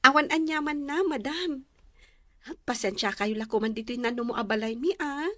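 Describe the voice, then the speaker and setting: A woman's voice: a little high, normal speed, pushed and bright, no room sound. A woman playing a polite, eager young student from the countryside in a radio drama. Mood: humble, polite. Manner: deferential, eager.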